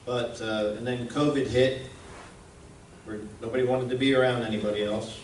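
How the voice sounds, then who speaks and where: A man giving an informal presentation, speaking in a plain-spoken, folksy, halting way. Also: mid-range voice, steady tone. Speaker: presenter, radio station founder. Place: indoor museum event room. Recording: roomy, distant mic, clear background noise.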